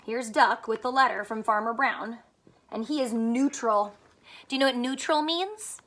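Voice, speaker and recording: A woman's voice: fairly high pitch, moderate pace, fairly bright tone. A woman teacher reading a picture book aloud to children, expressively, with simple explanations and questions.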